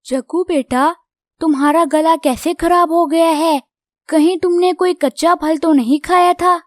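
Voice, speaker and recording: A woman's voice: very high-pitched, steady tone, unhurried, dry recording, studio quality. A woman voicing a concerned mother crow in a children's cartoon, motherly and questioning. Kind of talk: Hindi children's cartoon dubbing.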